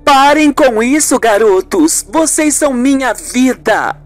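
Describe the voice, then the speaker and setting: A woman acts out a protective, easily confused aunt in a radio soap. The voice is high and exclamatory, unhurried, over background music. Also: animated. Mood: affectionate. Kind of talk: amateur radio soap acting.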